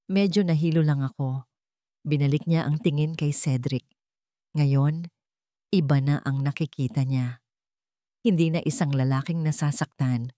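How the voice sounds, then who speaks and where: A woman's voice: deep voice, a little mellow, no echo, studio quality. A woman reading a scripted dramatic story as its narrator.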